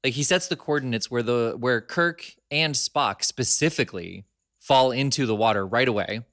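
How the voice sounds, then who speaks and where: Guy on a podcast, bright voice, dry close sound, laughs a lot. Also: medium pitch, moderate pace, no room sound. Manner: laughs often, chatty and animated. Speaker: podcast co-host. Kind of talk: casual chat.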